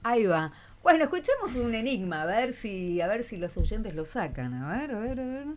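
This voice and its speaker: A woman's voice: on the high side, sing-song, normal speed. A woman with an Argentine accent hosting a live radio interview, informal and prompting her guests. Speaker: radio host.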